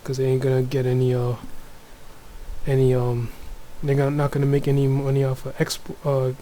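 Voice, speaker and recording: Brief: low, mellow-voiced man with a Toronto accent, flat delivery, hushed home chat with faint background noise. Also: brisk, rough recording. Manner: speaks softly, many restarts and fillers. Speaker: religious video maker. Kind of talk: casual religious commentary, reading news aloud. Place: home room.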